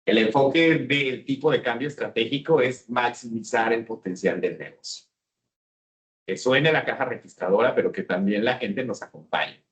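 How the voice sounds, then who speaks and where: A man's voice: medium pitch, normal speed, a bit bright. A man with a Mexican accent giving a business seminar talk and often asking the audience questions. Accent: Mexican Spanish. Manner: engaging, asks the audience questions. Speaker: speaker.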